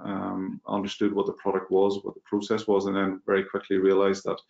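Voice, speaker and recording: A man's voice: low-pitched, monotone, fairly quick, gravelly, a little mellow, roomy sound, muffled recording. A man with a Northern Irish accent, talking as a guest on a webinar in a conversational way with many fillers and restarts. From Northern Ireland. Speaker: guest speaker, packaging company manager. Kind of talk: webinar talk.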